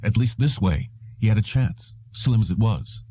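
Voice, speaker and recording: A man's voice: on the low side, lively intonation, moderate pace, mellow, no echo. A man reading a story aloud as scripted audiobook narration. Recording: dull, muffled lo-fi audio.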